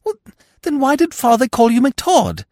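A man voicing a dim, excitable young upper-class English gentleman in an audiobook, anxious and eager, full of flustered exclamations and old-fashioned posh slang. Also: very high voice, normal speed, no echo, studio quality, pitched above the performer's own voice, full character voice. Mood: baffled, incredulous. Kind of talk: audiobook character voice.

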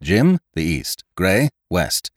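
A man's voice: medium pitch, huge pitch swings, slow, dry recording, studio quality, pitched above the performer's own voice. Man acting Captain Smollett, stern barking commander. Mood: brisk orders. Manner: clipped, commanding, scornful.

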